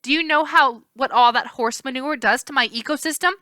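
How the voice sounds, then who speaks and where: A woman's voice: high voice, a little fast, pushed and bright, dry recording, clean studio sound, voice pushed up. A woman voicing a feisty, indignant young female river nymph in a storybook, sassy and emphatic.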